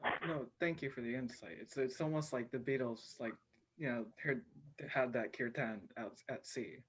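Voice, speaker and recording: A young male student answering a question in an online class, measured and thoughtful with a few fillers. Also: medium pitch, fairly bright tone, husky, rough recording. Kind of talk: class discussion.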